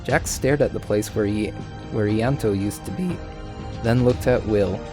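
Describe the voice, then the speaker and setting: A man's voice: lowish voice, a little slow, music in the background. A man reading a story aloud in an informal way, sometimes stumbling over lines. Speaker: fan fiction reader.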